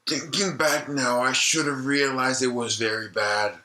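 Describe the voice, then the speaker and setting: Man acting a slow-spoken, haunted elderly doctor. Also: mid-range voice, steady tone, pushed and bright. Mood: somber recollection. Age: older. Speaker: retired obstetrician being interviewed. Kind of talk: dramatized audio-log testimony.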